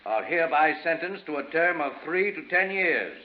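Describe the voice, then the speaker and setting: A man's voice: a little high, animated, natural voice. Man acting solemn judge in an echoing courtroom. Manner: formal, measured, official. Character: solemn, formal courtroom judge passing sentence. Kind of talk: 1940s radio acting. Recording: echoey, muffled, noisy old radio recording.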